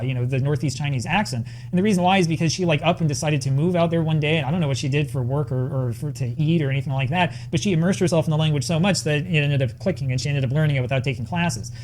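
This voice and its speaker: A man delivering a scripted video essay with language-learning advice, in a brisk, earnest manner. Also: a little high, darkish tone, a little hiss or hum.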